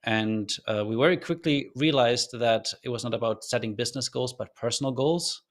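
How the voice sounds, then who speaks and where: Earnest man with a faint German accent. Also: medium pitch. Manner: thoughtful, earnest, loose with fillers and restarts. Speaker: podcast guest. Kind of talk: reflective storytelling in an interview.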